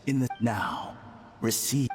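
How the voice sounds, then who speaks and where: A man's voice: mid-range voice, takes it slowly, faint music behind. A man playing a mysterious, hypnotic spiritual guru in a video game, his solemn voice drenched in reverb. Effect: long reverb. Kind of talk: video game character acting. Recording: long echoing reverb.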